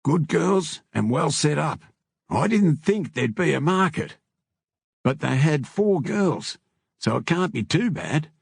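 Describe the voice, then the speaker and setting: A man voicing a matey, blunt male biker in an audiobook, talking in rough slang. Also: a little high, very animated, slow, no room sound, studio quality, pitched above the performer's own voice, heavily acted. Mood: businesslike. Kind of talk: audiobook character dialogue.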